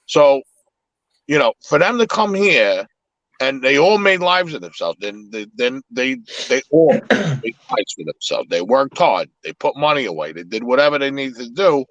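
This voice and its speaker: A man's voice: mid-range voice, very animated, moderate pace, fairly bright tone, dry recording, dull audio. A man whose first language is Italian, talking in a casual, reflective way on a live podcast, with many restarts. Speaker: podcast host.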